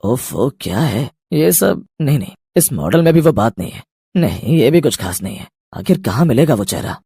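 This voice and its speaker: A man voicing a fussy, emphatic TV serial director in an animated moral story. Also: mid-range voice, normal speed, gravelly, slightly rough, no room sound, clean studio sound, exaggerated voice. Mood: frustrated. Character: fussy male TV serial director hunting a heroine.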